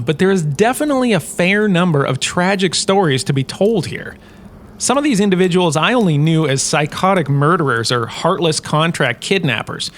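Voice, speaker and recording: Casting: male actor as chatty, pompous galactic professor for comic web series, slightly high voice, wry and easily affronted. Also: slight background noise. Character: pompous, touchy, chatty male sci-fi university lecturer on famous bounty hunters. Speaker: host, lecturer. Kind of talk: scripted comic in-character lecture.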